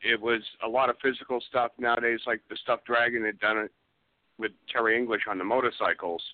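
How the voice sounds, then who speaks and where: A man's voice: medium pitch, steady tone, fairly quick, no echo. A man chatting casually as an interview guest, explaining things from his trade in plain, informal words. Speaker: guest, effects artist. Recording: dry, narrow phone line.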